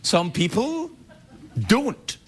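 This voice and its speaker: A man's voice: very high voice, big pitch swings, drawn-out words, breathy edge. A middle-aged Scottish man hosting a late-night show, delivering a wry, self-mocking comedy monologue to a studio audience. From Scotland. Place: television studio with live audience. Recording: loud audience noise behind.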